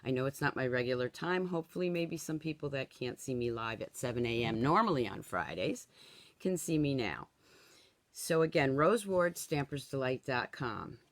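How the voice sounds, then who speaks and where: Chatty woman in her sixties, slightly hoarse. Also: a bass voice, lively intonation, moderate pace, no echo. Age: 60s. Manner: chatty, tags instructions with "okay?". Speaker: stamping craft demonstrator. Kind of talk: live-streamed craft tutorial.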